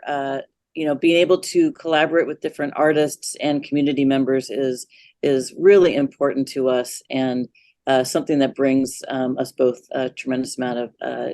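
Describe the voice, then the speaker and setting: Interview guest, mid-pitched voice, dry close sound, halting. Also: fairly even intonation, darkish tone, no echo. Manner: appreciative, hesitant with many fillers. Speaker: magazine co-founder, guest. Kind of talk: conversational interview.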